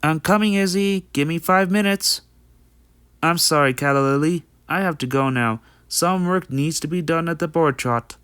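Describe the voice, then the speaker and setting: A man acts out a friendly young stallion from a fan-made cartoon dub. He is chatty and practical, explaining things earnestly in a clean, smooth voice. The sound is dry and close. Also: a little high, moderate pace, a bit bright, no room sound, natural voice. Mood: friendly, hopeful. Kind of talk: amateur comic dub voice acting.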